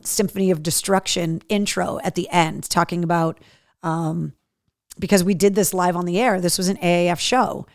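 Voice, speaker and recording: A middle-aged radio host woman, chatty and upbeat, sprinkling ums through her announcements. Her voice is deep and worn-in from years on air, yet smooth and clean. It sounds close and dry on a studio mic. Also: dry recording. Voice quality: deeper, worn-in voice from years of talking. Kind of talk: casual live-show announcements.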